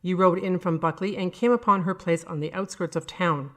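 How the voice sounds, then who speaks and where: A woman's voice: on the low side, fairly even intonation, a little fast, a bit bright, close to an everyday voice. A woman voicing a smug male prosecutor, accusing and mocking, in a short-story reading. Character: smug, needling male prosecutor cross-examining.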